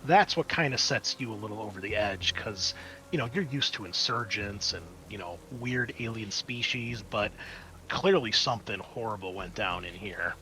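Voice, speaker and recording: Bright-voiced man running a horror roleplay game. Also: on the low side, moderate pace, slight background noise, low-quality recording. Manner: casual, sweary, descriptive. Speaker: game master. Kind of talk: narrating a tabletop horror game.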